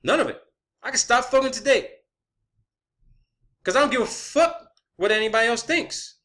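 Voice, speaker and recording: A man's voice: extremely high pitch, expressive, moderate pace, fairly bright tone. A man delivering an unscripted, profane rant in a blunt, emphatic manner, often repeating phrases for emphasis, as an online video creator.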